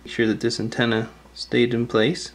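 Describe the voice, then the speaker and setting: A man's voice: medium pitch, completely flat delivery, moderate pace. A man giving casual, step-by-step hands-on instructions in a plain-spoken, informal way. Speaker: repair tutorial host.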